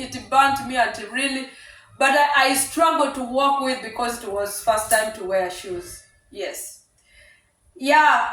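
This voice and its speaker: A middle-aged woman from Uganda telling her life story on her vlog, speaking slowly with many pauses and fillers while cooking in her home kitchen. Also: on the high side, a bit bright. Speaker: vlogger. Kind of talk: casual personal storytelling. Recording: echoey kitchen, voice from a distance.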